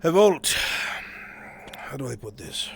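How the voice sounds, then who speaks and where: A man playing a worldly, sly fantasy adventurer in live roleplay, with deliberate, coarse-mouthed delivery. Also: mid-range voice, very animated, vocal fry, darkish tone, slight background noise, full character voice. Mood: grave storytelling. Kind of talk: live improvised fantasy roleplay in virtual reality. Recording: background music behind the voice.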